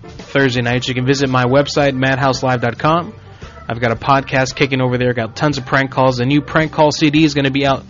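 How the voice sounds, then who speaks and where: A man with a Puerto Rican accent hosts a casual internet radio show, rambling on and joking, often restarting his sentences. His voice sits in an ordinary middle pitch. The stream sounds dull and lo-fi, with faint music underneath. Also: fairly even intonation, a little fast, fairly bright tone. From Puerto Rico. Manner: rambling, self-correcting, joking. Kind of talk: casual talk radio chat.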